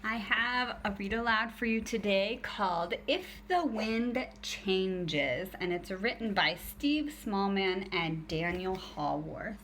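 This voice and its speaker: A woman teacher reading a picture book aloud to young children in an expressive, deliberate storytelling way. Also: mid-range voice, slight background noise.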